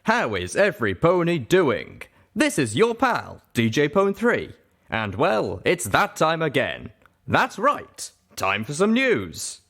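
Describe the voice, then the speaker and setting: Brash, swooping pony radio DJ. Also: male voice, fairly high pitch, huge pitch swings, fairly bright tone, voice pushed up, heavily acted. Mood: upbeat, showy. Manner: drawn-out showman delivery, punchy emphasis. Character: brash, folksy male pony radio DJ, showy and upbeat. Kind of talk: radio news announcing.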